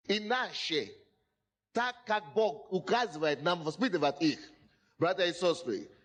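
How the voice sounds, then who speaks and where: Lively high-voiced preacher exhorting a congregation. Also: male voice. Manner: exhorting, repeats phrases, often asks the listeners if they hear him; slightly broken non-native phrasing. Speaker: pastor. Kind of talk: sermon. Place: in front of a congregation.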